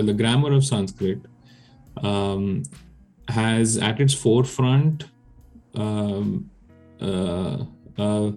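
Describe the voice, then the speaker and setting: A man's voice: medium pitch, dark tone. A man whose first language is Telugu, a guest researcher explaining his work in casual podcast chat, hesitant with many fillers and restarts.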